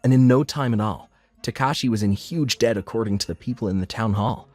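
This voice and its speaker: Man voicing an earnest male narrator, low voice, scripted comic-dub storytelling, first person, music underneath. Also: a little fast, a little mellow, no echo, close to an everyday voice. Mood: matter-of-fact. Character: earnest male diner owner narrating his own life story.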